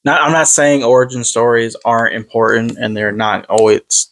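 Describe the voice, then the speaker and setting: A man's voice: mid-range voice, steady tone, moderate pace, a bit bright. A man in his twenties chatting casually, often repeating words and swearing offhand. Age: 20s. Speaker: podcast co-host. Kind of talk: casual movie chat.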